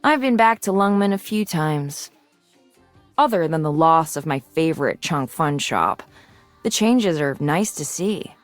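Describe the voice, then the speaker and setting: A woman voicing a mature, resolute and idealistic swordswoman in a video game, composed and earnest in her measured delivery. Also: sits low, expressive, no room sound, soft background music. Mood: solemn, reflective. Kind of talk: scripted video game character lines.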